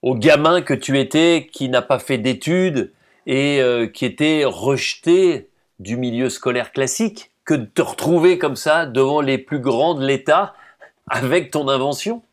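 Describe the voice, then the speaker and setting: A man's voice: medium pitch, unhurried. A man interviewing a guest, putting questions in a conversational way.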